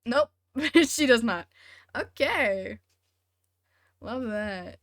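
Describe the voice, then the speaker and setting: A woman's voice: fairly high pitch, lively intonation, drawn-out words, no room sound, studio quality. A woman live-streaming a video game with casual commentary, playfully sarcastic as she talks back to chat and game.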